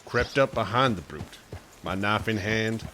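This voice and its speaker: An actor playing a boastful frontier hunter who spins folksy tall tales in a radio drama. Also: male voice, on the low side, measured pace, bright, forceful tone, slight background noise.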